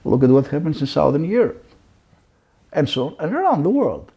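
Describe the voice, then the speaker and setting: A middle-aged man, a law professor, answering interview questions in an animated, talkative way with frequent asides. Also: a little high, brisk, slightly rough.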